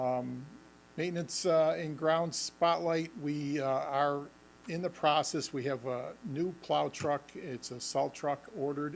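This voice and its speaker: A man presenting a facilities update at a school board meeting, halting with many ums and restarts, and losing his voice. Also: mid-range voice, vocal fry, a little hiss or hum, rough recording. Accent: Western New York. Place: school administration meeting room.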